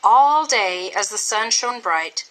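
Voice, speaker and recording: A woman reading a children's story aloud in an expressive, scripted style with dramatic, deliberate phrasing. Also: mid-range voice, poor audio. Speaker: storybook reader.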